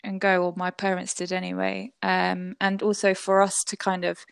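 Woman, young adult, chatty, reflective, mid pitch, dry sound. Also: monotone, normal speed, creaky, clean tone, no room sound. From Southwest London, England. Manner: chatty and reflective, many fillers, laughs often. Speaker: guest musician. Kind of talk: casual interview conversation.

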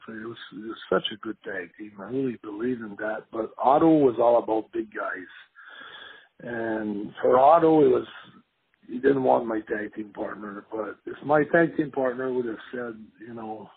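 A man's voice: mid-range voice, moderate pace, husky. A man in his fifties from Montreal with a Quebec French accent, a former wrestler rambling through his story with lots of "you know" fillers. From Montreal, Canada. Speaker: former pro wrestler, guest. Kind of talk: casual interview storytelling. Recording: tinny phone line.

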